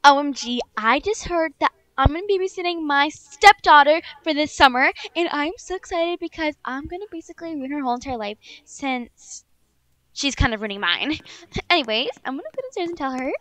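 High, bright, fake-sweet evil stepmother played by a woman. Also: lively intonation, fairly quick, dry recording. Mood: gloating. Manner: gushing, girlish, slangy. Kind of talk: improvised video game roleplay. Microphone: headset.

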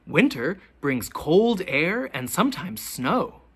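A teacher reading a children's picture book aloud, with expressive, deliberate questions pitched to young children. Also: slightly rough. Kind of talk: children's storybook read-aloud.

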